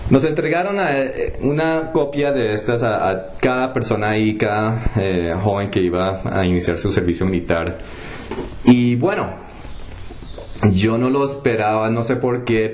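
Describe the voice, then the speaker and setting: A young man from the United States telling his personal story in a casual, halting way, with many fillers and restarts. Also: lowish voice, a little mellow, a little hiss or hum. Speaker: testimony vlogger. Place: home kitchen.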